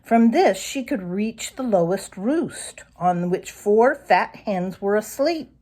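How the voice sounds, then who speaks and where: A woman reads a children's story aloud as narrator, in an expressive storytelling style. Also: medium pitch, slow pace. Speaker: storybook reader.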